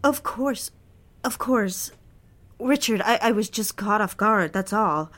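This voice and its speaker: A woman's voice: mid-range voice, lively intonation, slow pace, a bit bright. An actress playing a proper, dutiful and easily flustered young secretary in an audio drama, a woman speaking hesitantly and earnestly. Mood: pleading. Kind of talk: dramatic audio fiction, intimate dialogue.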